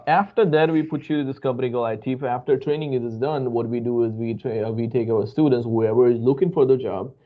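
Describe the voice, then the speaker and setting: A man interviewing a former student for his training school, upbeat and repeating "perfect" and "right?" often. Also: mid-range voice. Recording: tinny phone-like line.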